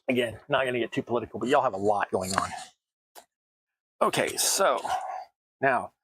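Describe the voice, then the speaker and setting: American guy rambling while he cuts stuff, middle pitch, dry close sound. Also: a little slow, pauses often, a bit bright, husky, no room sound. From United States. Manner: rambling, chatty, self-deprecating asides. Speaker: terrain crafting streamer. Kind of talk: casual chat while crafting. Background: sounds of cutting at a worktable.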